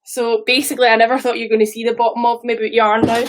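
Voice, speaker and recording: A woman in her thirties with a Scottish accent, talking loosely and rambling to her viewers on a live stream. Her voice sits a little high, and she swears now and then between asides. She sounds like she is on a phone or webcam mic. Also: level tone, rapid-fire. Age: 30s. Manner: chatty, rambling, swears casually. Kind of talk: casual live stream chat.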